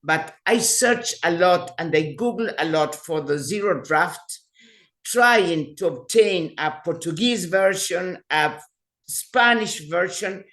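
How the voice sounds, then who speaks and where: A woman's voice: fairly low pitch, expressive, takes it slowly, fairly bright tone. A woman professor giving panel remarks on a video call, hesitant, with many fillers and restarts.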